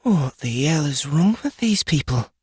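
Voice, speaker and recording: Casting: seasoned woman of the woods played by a narrator, high, animated, mocking and blunt, fantasy audiobook. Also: measured pace, breathy edge, dry recording, studio quality, full character voice. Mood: incredulous. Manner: blunt, amused, exclamatory. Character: brash, mocking, experienced woodswoman of fantasy world.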